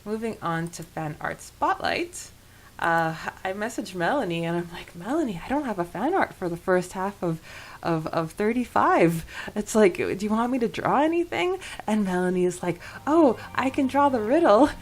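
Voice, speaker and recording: A woman's voice: mid-range voice, big pitch swings, normal speed. A woman co-hosting a podcast in a casual, chatty and enthusiastic way about a book. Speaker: podcast co-host.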